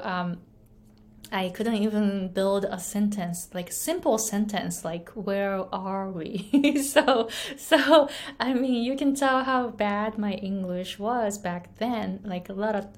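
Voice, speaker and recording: A young adult woman from Japan with a Japanese accent, an English pronunciation coach speaking earnestly and chattily to camera, often tagging "right?" and laughing lightly. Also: medium pitch. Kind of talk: casual motivational talk to camera.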